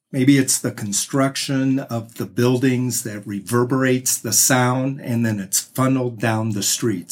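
A man, a podcast guest, talking in a measured, explanatory way. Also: medium pitch, breathy edge. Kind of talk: casual interview chat.

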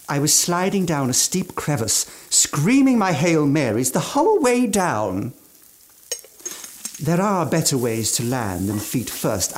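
An actor playing a genial, boastful British chef in the style of an old radio play, with effusive, gentlemanly phrasing. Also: male voice, mid-range voice, a little hiss or hum. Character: genial, boastful gourmand chef, former mountaineer, now legless.

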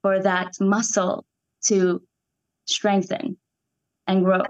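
A woman's voice: mid-range voice, little pitch movement, slow pace, rough recording. A young woman from Iran, raised in Canada, speaking as an actress in an interview, thoughtful and enthusiastic. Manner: enthusiastic, thoughtful, searching for words. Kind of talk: reflective interview conversation.

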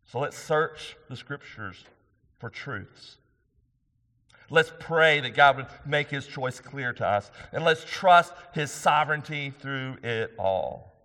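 A man preaching a sermon to his church, loud and emphatic, rising for key points. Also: mid-range voice. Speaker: pastor. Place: church sanctuary. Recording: long hall reverb.

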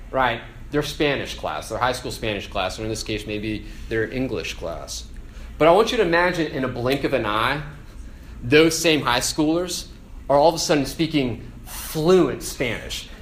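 Casual, animated man preaching a sermon. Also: medium pitch, slight background noise. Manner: conversational, animated, frequent "like" and "right?".

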